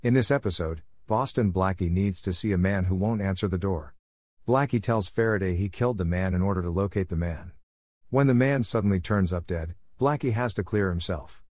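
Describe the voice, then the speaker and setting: Male podcast host for scripted intros and promos: fairly low pitch, very dry sound. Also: a little fast, darkish tone, dry recording.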